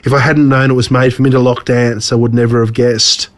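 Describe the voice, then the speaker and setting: A man with an Australian accent reading his own story aloud as a scripted narration, measured and dramatic. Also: mid-range voice, level tone.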